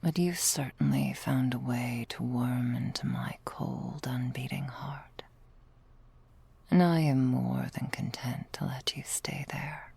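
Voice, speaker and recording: A woman playing a loving, patient vampire girlfriend in a whispered ASMR roleplay, with soft breathy delivery and tender, languid, formal phrasing. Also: extremely low pitch, steady tone, takes it slowly, dry recording, full character voice. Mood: tender, devoted.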